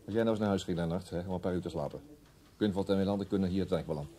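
An actor playing a weary, authoritative police detective in a radio drama. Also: male voice, low-pitched, rapid-fire, mellow, lightly acted. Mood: firm, commanding.

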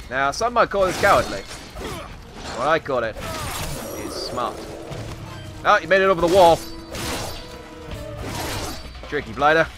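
A man's voice: mid-range voice, lightly acted. Man putting on a wandering Aussie survival expert, music behind. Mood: flustered boasting. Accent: put-on wandering Australian. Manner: hesitant, rambling, mock-instructional. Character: bumbling, boastful Australian outdoor survival expert. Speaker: gameplay commentator. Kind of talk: improvised in-character comedic game commentary.

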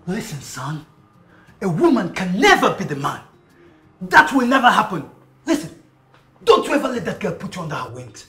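Stern, animated father. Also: high voice, moderate pace, long pauses, heavily acted. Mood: stern, admonishing. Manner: admonishing. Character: stern, old-fashioned father of a grown son. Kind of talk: screen drama acting. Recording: echoey room, faint music behind.

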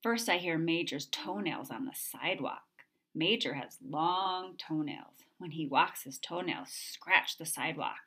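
A woman reading a children's picture book aloud in an expressive way, with simple, deliberate phrasing for young listeners. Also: low-pitched, husky. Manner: expressive, acting out sound effects. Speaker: storybook reader.

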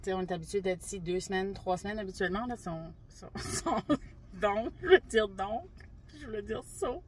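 A woman's voice: medium pitch, expressive, normal speed, a little hiss or hum. A woman in her forties with a Quebec French accent, chatting and giggling through vlog intros in a parked car. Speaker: travel vlogger. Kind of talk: casual chat. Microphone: phone camera on the dashboard.

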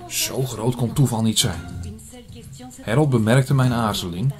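A man playing an earnest priest in an audio drama, telling his story in a measured, formal way. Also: mid-range voice, a little mellow, natural voice. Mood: guarded, curious. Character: earnest male priest investigating an apocalyptic prophecy. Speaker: narrator and lead actor. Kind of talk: scripted audio drama, first-person narration. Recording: music under the voice.

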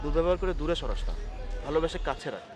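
A man's voice: fairly high pitch, expressive, racing, close to an everyday voice. A young man acting an earnest, reassuring poor young friend and son in a short-film drama. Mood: earnest, chiding.

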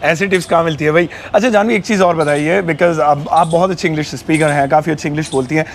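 A young man hosts an outdoor interview, talking quickly and chattily in a somewhat high voice. He wears a clip-on mic in a garden courtyard, with faint ambience behind him. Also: fairly even intonation, a little hiss or hum. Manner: brisk, chatty, addresses viewers directly. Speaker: host, English teacher. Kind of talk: casual interview questions. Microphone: clip-on lapel mic.

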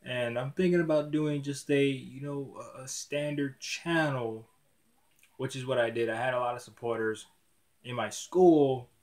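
A man of twenty vlogging casually to his camera in a dim small room, rambling and restarting his words often, with relaxed slang. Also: medium pitch, measured pace. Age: 20s. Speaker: YouTuber. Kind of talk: casual vlog talk to camera.

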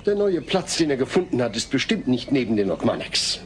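Mild, exasperated sitcom father by a male actor; mid-pitched, dry, on noisy tape. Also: very animated, fairly quick, close to an everyday voice. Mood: sarcastic. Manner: dry, sarcastic, long-suffering. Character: exasperated, mild-mannered suburban family father. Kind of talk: dubbed sitcom audio drama. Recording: noisy cassette tape with clicks.

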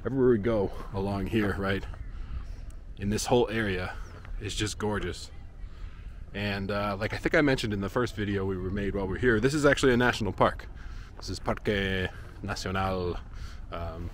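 A man's voice: fairly low pitch, normal speed, a little hiss or hum. Easygoing man vlogging outdoors. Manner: easygoing, chatty, many fillers, walking while talking. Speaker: travel vlogger. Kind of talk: casual travel vlog narration. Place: outdoors by a lake and parking lot. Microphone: handheld action camera at arm's length.

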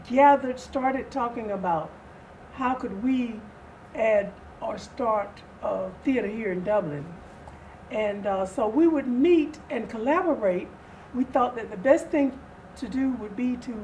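An older, retired woman telling stories as an interview guest, speaking in deliberate, halting phrases. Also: a little high, a little mellow. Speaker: theater group co-founder, interview guest. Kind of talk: interview storytelling. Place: small furnished indoor sitting-room set. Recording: distant mic, noisy room ambience.

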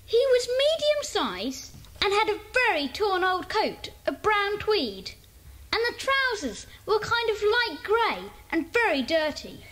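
A woman's voice: extremely high pitch, big pitch swings, slow, a bit bright, full character voice, poor audio. A woman playing an earnest, observant schoolboy in a children's club. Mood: eager, recalling.

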